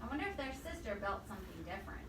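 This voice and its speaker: A woman reading a storybook aloud to young children like a teacher, expressively, pausing to ask them questions. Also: medium pitch. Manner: expressive, pausing to quiz the listeners. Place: indoor room with children present. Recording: echoey, distant microphone, noisy room.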